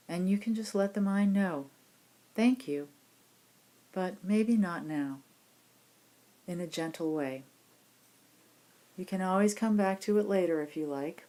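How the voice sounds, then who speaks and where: Female meditation teacher, lowish voice, gentle and reassuring, leading a guided relaxation practice. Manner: gentle, unhurried, reassuring instruction. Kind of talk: guided body scan meditation instruction.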